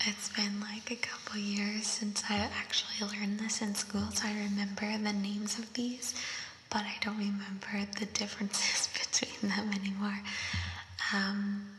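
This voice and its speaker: A young woman doing ASMR, whispering softly as she reads quiz questions and thinks through them aloud, with a voice effect on her whisper. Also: mid-range voice. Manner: soft unvoiced whisper, slow and thinking aloud. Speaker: ASMR creator. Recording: roomy echo with long reverb.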